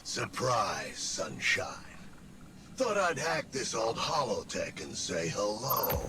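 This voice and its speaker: A man's voice: fairly low pitch, noisy background, full character voice. A man voicing a snide, resentful little robot companion to villains in a video game, sarcastic, brash and streetwise. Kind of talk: video game character acting.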